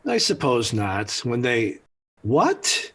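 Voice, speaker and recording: Hot-tempered, husky-voiced radio dad. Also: male voice, medium pitch, big pitch swings, takes it slowly, a bit bright, full character voice. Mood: dry. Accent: American. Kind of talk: 1940s radio sitcom acting. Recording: old 1940s radio recording.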